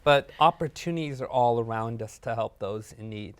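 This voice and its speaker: A host interviews guests on a faith talk show, affirming their answers and asking follow-up questions. The voice has an ordinary mid-range pitch. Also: moderate pace.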